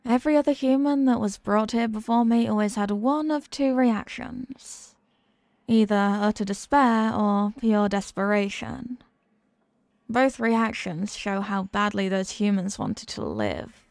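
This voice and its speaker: A woman's voice: medium pitch, lively intonation, darkish tone, no echo, studio quality. A woman voicing a condescending, sadistic and possessive demon queen who mocks the human listener in a scripted ASMR roleplay. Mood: probing, curious. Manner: condescending, mocking, deliberate and teasing.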